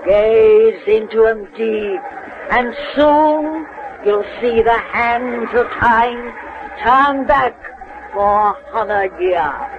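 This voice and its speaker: A woman's voice: fairly high pitch, extremely slow. A woman playing a gleeful, folksy witch over a hundred years old, with a cracked voice, hosting an old radio horror show. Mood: gleeful, ominous. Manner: folksy, drawling, relishing the horror. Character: gleeful hundred-and-eleven-year-old witch telling spooky tales. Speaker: storyteller host. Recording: noisy muffled old radio recording.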